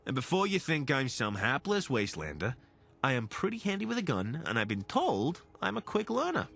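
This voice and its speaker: An actor playing an affable, curious wasteland scavenger in a video game, casual, wry and eager to team up. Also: male voice, medium pitch, huge pitch swings, a little fast, vocal fry, fairly bright tone, slightly rough, heavily acted. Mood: upbeat, eager. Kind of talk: video game companion dialogue.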